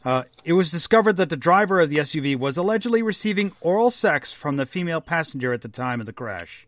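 A man's voice: on the high side, lively intonation, fast talker, a bit bright, no echo. A man in his fifties co-hosting a casual podcast chat, reading news items aloud and laughing easily. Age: 50s. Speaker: podcast co-host.

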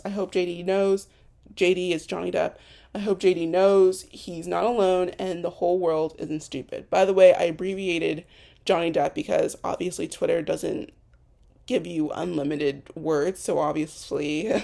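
A woman's voice: fairly low pitch, vocal fry, warm tone. A young woman hosting a solo podcast, talking off the cuff with many restarts, as she starts to lose her voice. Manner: rambling, with frequent restarts and ums. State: losing her voice. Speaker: podcast host. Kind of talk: unscripted solo podcast monologue. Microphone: home recording for an audio podcast.

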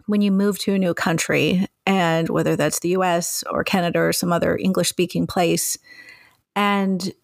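A woman from Mexico hosting an interview, chatty and animated, acting out the remarks she quotes. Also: fairly low pitch, moderate pace, creaky, no room sound, clean studio sound. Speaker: interviewer, host. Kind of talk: casual interview conversation.

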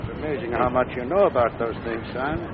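An actor playing a dignified older father in 1940s radio comedy, measured, wryly understated and gently amused. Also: mid-range voice, a crowd in the background, close to an everyday voice. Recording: very noisy, muffled 1940s radio recording.

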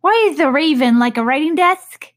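A woman voicing an odd, rude male hatter who talks slowly and emphatically. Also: high-pitched, pitched above the performer's own voice, close to an everyday voice.

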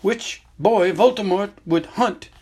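A man voicing a calm, grave old male wizard headmaster in a gentle, measured way, with a husky voice. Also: sits high, pitched above the performer's own voice, full character voice. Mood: solemn.

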